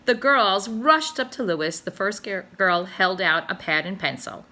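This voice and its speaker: A teacher reading a children's novel aloud, telling the story with animated expression. Her voice is bright and crisp, swinging widely in pitch as she narrates. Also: unhurried.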